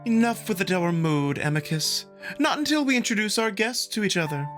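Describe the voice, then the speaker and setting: A man's voice: high-pitched, expressive, fairly quick, fairly bright tone, faint music behind, pitched above the performer's own voice. A man voicing a haughty, playful young female wolf noble in a theatrical, sing-song visual novel reading. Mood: teasing, imperious.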